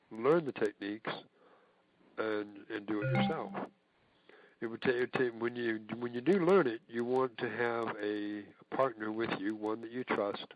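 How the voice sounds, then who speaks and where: A man speaking on a recorded conference call, talking haltingly with many fillers and restarts. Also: mid-range voice, muffled recording, a little hiss or hum. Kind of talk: casual talk on a conference call.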